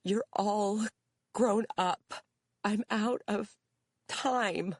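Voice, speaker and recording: A woman's voice: medium pitch, very slow, dry recording, studio quality. A woman reading aloud, voicing a distraught mother who sobs and blames herself. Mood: sobbing. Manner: sobbing, anguished. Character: distraught mother, sobbing, self-blaming.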